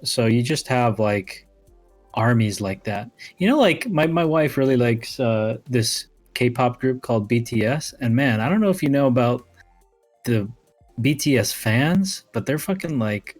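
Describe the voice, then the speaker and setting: A man's voice: medium pitch, lively intonation, a little slow, soft background music. A man from the United States talking in a casual, slangy way. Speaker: talk show participant. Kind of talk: casual chat.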